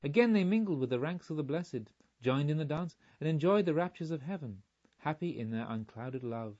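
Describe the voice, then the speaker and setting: A man reading a literary audiobook in a measured, formal way, on a slightly muffled recording. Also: fairly high pitch, animated, mellow. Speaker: audiobook narrator. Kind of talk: literary scripted narration.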